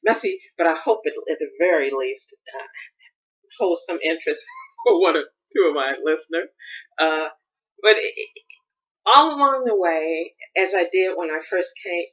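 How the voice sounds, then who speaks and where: A woman's voice: fairly low pitch, very animated, moderate pace, a bit bright, highs cut off. An American woman hosting a solo podcast, thinking aloud in a rambling, reflective monologue with frequent restarts. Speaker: podcast host.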